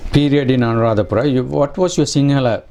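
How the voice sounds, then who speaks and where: A man's voice: medium pitch, fairly quick, a little mellow. A man interviewing a guest, giving short affirming prompts and follow-up questions.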